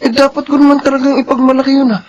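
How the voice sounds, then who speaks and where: A man's voice: extremely high pitch, little pitch movement, racing, dull audio. A Filipino man playing a vain, brash and pushy rich young suitor in a radio drama. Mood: indignant.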